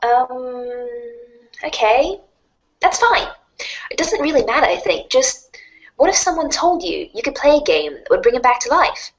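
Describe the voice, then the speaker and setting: Woman acting a friendly teen girl, eager, awkward, high-pitched, radio play style. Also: big pitch swings, measured pace, dull audio. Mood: earnest, awkward.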